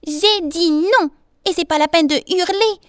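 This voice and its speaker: A woman's voice: very high voice, sing-song, slow pace, a bit bright, no echo, pitched above the performer's own voice, full character voice. A woman voicing a tiny, cheeky, irritable flea with curt retorts in a children's storybook. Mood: irritated. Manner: snappy, dry retorts.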